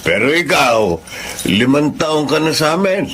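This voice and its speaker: A man's voice: on the high side, big pitch swings, unhurried, fairly bright tone, slight background noise. A man playing a stern rich boss. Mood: grateful. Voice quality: commanding, curt. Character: stern wealthy male employer. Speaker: employer.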